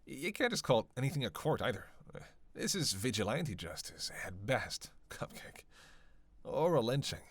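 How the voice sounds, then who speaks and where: A man's voice: mid-range voice, sing-song, slightly rough, dry recording. A man playing a witty, bloodthirsty vampire in a teasing roleplay monologue, sardonic and conversational. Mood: mocking. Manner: sardonic, playful, conversational with sighs and pauses. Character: teasing, witty, bloodthirsty male vampire, centuries-worn cynic. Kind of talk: flirtatious dark roleplay monologue to one listener.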